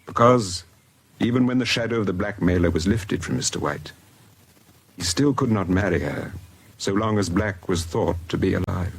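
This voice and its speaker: An actor playing a quiet, wistful Englishman in a British radio drama, reflective and restrained. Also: deep voice, moderate pace, vocal fry, husky, heavily acted. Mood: wistful, intent. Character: quiet, wistful Englishman, privately knowing listener.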